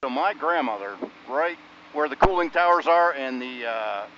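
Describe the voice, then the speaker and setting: A man's voice: mid-range voice, animated, moderate pace. Muffled American man over noisy plane intercom. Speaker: passenger. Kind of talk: casual chat. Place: small propeller plane cockpit in flight. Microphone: aviation headset mic. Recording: muffled headset intercom, loud aircraft noise.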